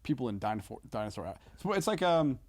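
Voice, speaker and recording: A man who is a comedian guest on a podcast, chatting casually in a halting, rambling, slangy way. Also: medium pitch, sing-song.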